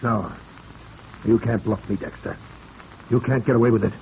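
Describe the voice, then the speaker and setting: An actor playing a wealthy, indignant broker cornered by blackmail, defiant and halting, in a 1940s radio drama. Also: male voice, on the low side, steady tone, a little mellow, natural voice. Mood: defiant, rattled. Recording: dull, noisy vintage radio recording.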